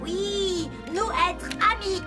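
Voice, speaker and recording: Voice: extremely high pitch, fairly even intonation, slow, loud music behind the voice. An actor in a French cartoon dub playing a small, cute boy robot, naive and earnest, who speaks in broken, telegraphic phrases. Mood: friendly.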